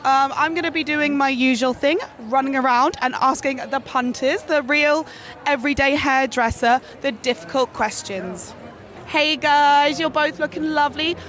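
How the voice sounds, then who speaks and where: A woman reporter interviewing visitors at a trade show with a handheld mic, upbeat and quick with her questions. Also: high voice, fairly bright tone. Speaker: roving reporter. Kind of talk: vox-pop interviewing. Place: busy trade show hall. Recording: crowd noise of a trade show.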